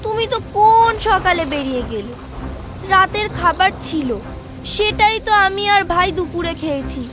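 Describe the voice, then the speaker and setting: Very high-pitched bossy little girl. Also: expressive, moderate pace, over music. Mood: puzzled. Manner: childlike, scolding and soothing. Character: young elder sister, caring and bossy little girl. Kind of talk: expressive children's cartoon acting.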